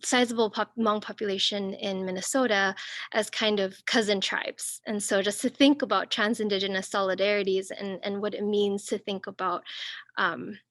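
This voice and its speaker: A woman's voice: medium pitch, steady tone, moderate pace, a bit bright, dry recording. Woman giving a talk over a video call, dry sound, mid voice, lots of ums and restarts. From Singapore and northern Thailand. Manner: thoughtful, many fillers and restarts. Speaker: guest speaker. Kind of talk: academic talk.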